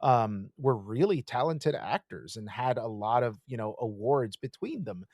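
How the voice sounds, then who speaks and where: A man co-hosting a podcast, gushing with animated enthusiasm and swearing often in casual chat. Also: medium pitch, measured pace, no room sound, studio quality. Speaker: podcast co-host.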